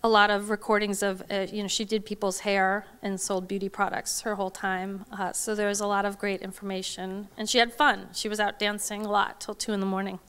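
A woman's voice: medium pitch, level tone, brisk, hardly any pauses, fairly bright tone. A middle-aged woman answering audience questions from a podium microphone in a large hall, hesitant with many fillers and restarts. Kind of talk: academic Q&A talk.

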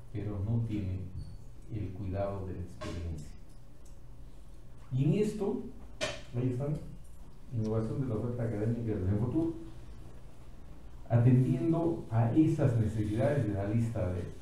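A middle-aged man giving a conference talk, telling anecdotes in short, emphatic, deliberate phrases. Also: lowish voice, mellow. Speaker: conference speaker. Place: indoor auditorium, speaking at a podium. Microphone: distant camera microphone. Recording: echoey hall from far off, faint noise.

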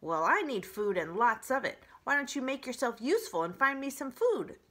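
A woman's voice: high-pitched, sing-song, normal speed, a bit bright, pitched above the performer's own voice, heavily acted. A woman voicing a vain, hungry, sly male fox, whiny and demanding, in a children's storybook. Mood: grumbling. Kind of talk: children's book read-aloud.

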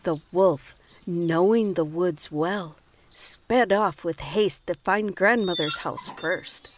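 A woman's voice: lowish voice, slow pace, slight background noise. A woman narrator reading a fairy tale as scripted storybook narration.

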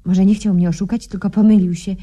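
An actress playing a sweetly scheming, unruffled wife in a satirical radio sketch, saying absurd things in an innocent, matter-of-fact way. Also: female voice, mid-range voice, fairly even intonation, fast, warm tone, lightly acted. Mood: pensive, matter-of-fact.

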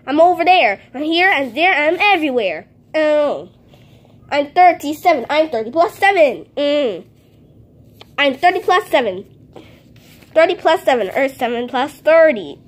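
A woman voicing a cheerful, boastful male number block who chants rhymes in a sing-song way while playing with paper cutouts at a home table, recorded on a close phone. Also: high-pitched. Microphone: handheld phone camera close to table.

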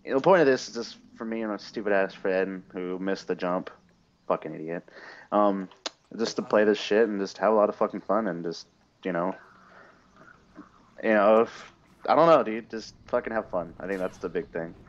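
Low-voiced teen guy, muffled mic. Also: normal speed. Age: teenager. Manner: teasing, heavy swearing. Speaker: gaming channel co-host. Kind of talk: casual profane banter over gameplay. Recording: dull, faintly noisy home mic.

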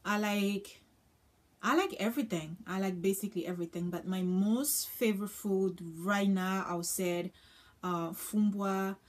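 A woman's voice: mid-range voice, fairly even intonation, a little slow. A young woman from Congo, chatting casually to camera with many ums and uhs. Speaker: vlogger. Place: small indoor room with floral curtain.

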